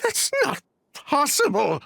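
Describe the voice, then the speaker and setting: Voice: high voice, wildly swooping pitch, slow pace, creaky, a bit bright, dry recording, studio quality, exaggerated voice. An actor playing a distraught, grieving brother in a video game, near tears, stammering in broken phrases with a cracking voice. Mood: desperate, grieving.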